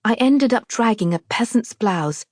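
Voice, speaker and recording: A woman reading a novel aloud as a scripted literary narration, in a measured, deliberate, expressive storytelling manner. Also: a little high, dry recording, clean studio sound. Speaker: author narrating her own audiobook.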